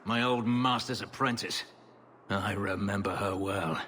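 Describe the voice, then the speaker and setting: An actor playing a brooding male elf, an escaped slave and warrior, speaking in a grave, measured, bitter way. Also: lowish voice, fairly even intonation, a bit bright, slight background noise. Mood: grim. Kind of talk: video game voice acting. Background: game music score.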